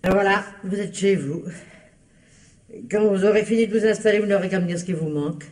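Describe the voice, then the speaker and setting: An actor playing a blunt, helpful household servant, chatty and confiding, in old French radio drama style. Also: male voice, high voice, a little fast, a little mellow. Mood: obliging, chatty.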